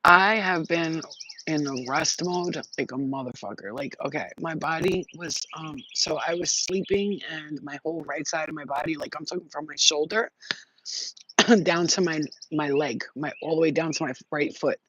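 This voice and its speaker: A man talks loosely to his live-stream viewers, giving them spiritual readings. He drifts from story to story in a rambling, excitable way and swears freely. His voice sits a little higher than most men's. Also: normal speed, slight background noise, low-quality recording. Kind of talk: casual live-stream chat and intuitive reading. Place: outdoors near trees.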